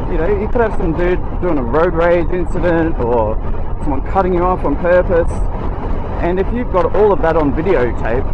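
An Australian man talking casually into a lapel mic while riding a motorcycle, giving his opinions in a ranting way. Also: medium pitch. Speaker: motovlogger. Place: on a motorcycle on an open road. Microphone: lapel mic under a helmet. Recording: noisy lapel mic with road and engine noise. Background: road and traffic noise.

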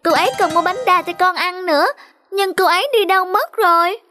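A woman's voice: very high voice, fairly quick. A woman voicing a small chatty girl in a cartoon, sweet and earnest, over background music. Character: small cheerful girl, about five. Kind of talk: cartoon dubbing.

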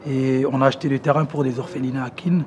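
A man in his thirties from Congo with a Congolese French accent, talking casually to camera, relaxed and hesitant with many fillers. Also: medium pitch, fast talker, crowd noise. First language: Lingala. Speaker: musician presenting his own interests. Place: outdoor garden terrace among plants. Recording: music playing under the voice.

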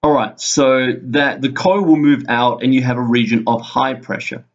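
A man speaks at an average pitch on a dull, lo-fi recording. He sounds like a teacher giving an informal lesson at his computer. He thinks aloud as he goes, building the explanation haltingly, one step at a time. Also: steady tone, vocal fry. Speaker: physics teacher. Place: indoors, screen-recorded voice-over at a computer.

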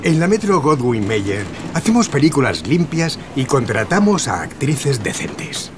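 An actor playing a bossy, patronizing Hollywood studio boss in an audio drama, businesslike and persuasive. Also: male voice, mid-range voice, big pitch swings, unhurried, noisy background. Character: blunt, bossy Hollywood studio mogul man. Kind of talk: scripted audio drama acting.

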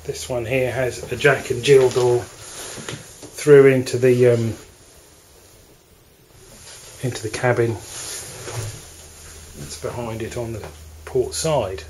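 A man with an English accent walks through the cabins of a moored motor yacht, narrating a sales tour in a relaxed, hesitant way. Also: medium pitch, slow pace, pauses often, slight background noise, poor audio. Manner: relaxed, hesitant, with fillers and restarts. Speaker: yacht broker. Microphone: handheld camera mic.

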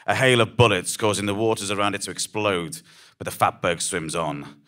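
Low-voiced man narrating dramatically. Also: moderate pace, sharp tone. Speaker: storyteller reading a story live on stage.

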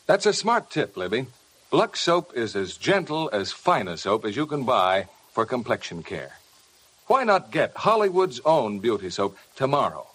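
A man's voice: medium pitch, very animated, moderate pace, fairly bright tone, husky. An American man announcing on 1950 radio, reading scripted lines in a polished, promotional way.

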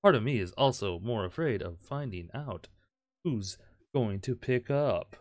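A man's voice: medium pitch, expressive, a little slow, darkish tone, no echo, clean studio sound. A man doing let's play commentary, reading game text aloud with crude jokes and the odd stumble. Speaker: gameplay host.

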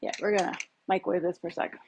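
A woman's voice: lowish voice, little pitch movement, very fast, darkish tone, husky, dull audio. A woman in her thirties chatting casually as she cooks in a home kitchen, rambling with lots of fillers, recorded on a phone on the counter. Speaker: home cook vlogger. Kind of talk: casual cooking demo. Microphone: phone camera on the counter.

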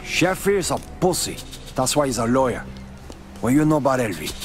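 An actor playing a brash, hot-tempered Cuban-American crime boss with a Cuban accent, blunt, profane and domineering. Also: male voice, medium pitch, very animated, brisk, over music, close to an everyday voice. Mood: swaggering, pushy. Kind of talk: video game character acting.

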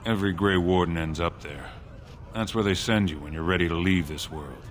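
A man voicing a gruff, weary dwarf warrior in a video game, speaking in a terse, blunt way. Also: low voice, brisk, a little hiss or hum, lightly acted. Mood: grim, weary. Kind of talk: video game dialogue acting.

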